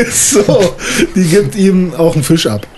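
A man's voice: sits high, expressive, slow pace, a crowd in the background. A young man improvising a story as a player in a tabletop role-playing game, chatting casually and with slang. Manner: informal, slangy.

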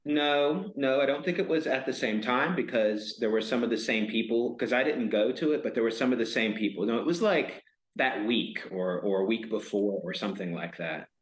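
A man with a loud voice telling stories in a casual, rambling chat, full of fillers and the odd swear word. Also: medium pitch, moderate pace. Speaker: podcast guest. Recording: roomy echoey room sound.

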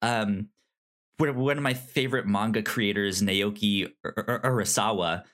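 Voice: medium pitch, moderate pace, a bit bright. A podcast co-host casually discussing film, often stammering and repeating words and sounds.

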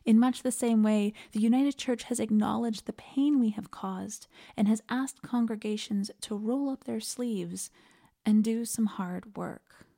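A woman minister delivering a reflective scripted sermon in a measured, earnest way. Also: fairly high pitch, creaky, dark tone. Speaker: minister preaching, podcast host.

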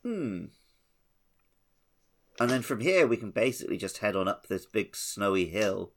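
A man with a very deep voice and a gravelly creak co-hosts a gaming podcast. He talks in a casual, conversational way. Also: sing-song, a little slow, slight background noise. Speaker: podcast co-host. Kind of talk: casual chat about a video game.

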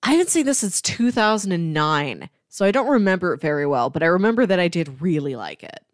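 A woman co-hosting a podcast in casual film chat, animated and given to acting out imagined dialogue. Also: on the low side, fairly quick, no room sound, clean studio sound. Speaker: podcast co-host.

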